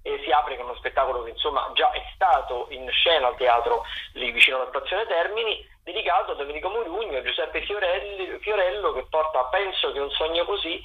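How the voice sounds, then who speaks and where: A man's voice: a little high, a little fast. A man presenting theatre listings as a chatty, playful critic over a phone line. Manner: playful, discursive, with asides. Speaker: theatre critic, radio contributor. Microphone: telephone.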